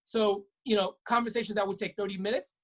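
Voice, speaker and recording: A woman's voice: mid-range voice, fairly even intonation, quick pace. A woman physician from Brooklyn, New York, answering interview questions in an earnest, explanatory way with frequent restarts. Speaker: physician guest. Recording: web talk show.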